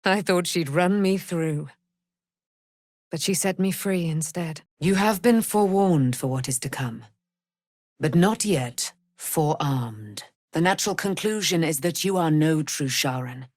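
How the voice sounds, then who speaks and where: A woman's voice: on the low side, no room sound, clean studio sound. A woman playing a proud, vengeful and noble immortal warrior in a fantasy video game, declaiming in a grand, formal, archaic way.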